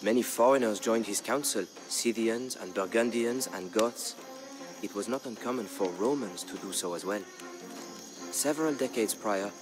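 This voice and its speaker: A man narrating a historical tale as a dramatic scripted story, in a measured, grave storyteller's delivery. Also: lowish voice. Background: background music.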